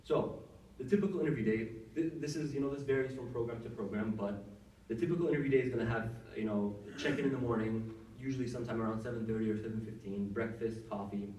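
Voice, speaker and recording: A man's voice: medium pitch, fast talker, warm tone. A young man from Saudi Arabia, a doctor giving casual advice to students, talking conversationally with many fillers and restarts. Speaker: workshop speaker, doctor. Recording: echoey room, mic at a distance.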